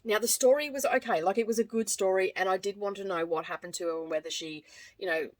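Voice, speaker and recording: A woman's voice: mid-range voice, quick pace. A woman with an Australian accent reviewing books in an informal, rambling way, often correcting herself as she goes. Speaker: book reviewer, vlogger. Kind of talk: casual chat to camera, book review.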